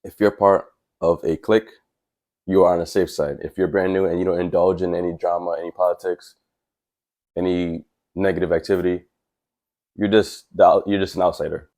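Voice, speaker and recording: Man, very deep voice, stuffy from a cold, earnest plainspoken tradesman, casual vlog talk. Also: little pitch movement, fairly quick, darkish tone. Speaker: welder and tradesman vlogger.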